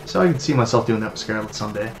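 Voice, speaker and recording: A man from Newfoundland streaming a retro game, chatting casually and getting frustrated and shouting at it. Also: fairly low pitch, expressive, racing. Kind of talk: casual live-stream chat.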